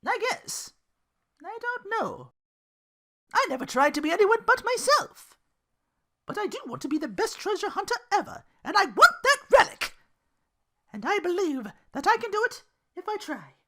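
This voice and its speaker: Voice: very high voice, big pitch swings, normal speed, pauses often, pitched above the performer's own voice, heavily acted. A podcast host voicing a brash, grumpy young woman treasure hunter, snappy and sarcastic. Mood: exasperated, determined. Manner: snappy, loud, exasperated.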